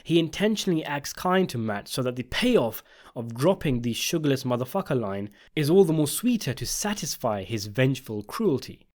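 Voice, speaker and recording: A man's voice: medium pitch, very animated, moderate pace, a little mellow. A man narrating a scripted video essay, giving opinionated commentary on a television drama.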